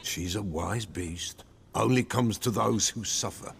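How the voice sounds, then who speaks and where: A man's voice: on the low side, a little slow, a little hiss or hum. An actor playing an old male village soothsayer, cryptic and superstitious, who speaks in archaic, folksy sayings in a video game cutscene. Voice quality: gravelly.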